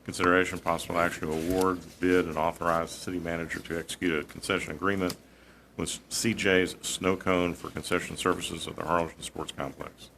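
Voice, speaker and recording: Very deep-voiced man, brisk mayor chairing. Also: little pitch movement, creaky, a bit bright, slight background noise. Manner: brisk, formal procedural phrasing. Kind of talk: chairing a council meeting. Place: city commission chamber.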